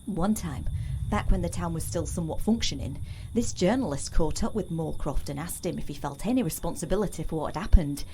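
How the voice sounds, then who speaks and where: Disdainful townswoman, played by an actress, audio drama. Low, dark, dry and sardonic. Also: animated, brisk, slight background noise, rough recording. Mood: wry storytelling.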